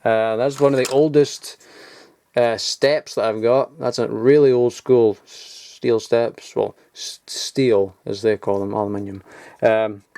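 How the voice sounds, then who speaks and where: A man's voice: mid-range voice, darkish tone, slight background noise. Rambling young man showing old toys. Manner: rambling, offhand, chuckles at himself. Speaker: toy collector vlogger. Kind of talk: casual show-and-tell. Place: home loft.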